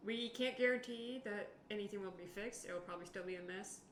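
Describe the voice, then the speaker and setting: A woman's voice: mid-range voice, a little fast, fairly bright tone. A woman playing a tabletop roleplaying game, improvising in casual chat. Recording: echoey room, clear background noise.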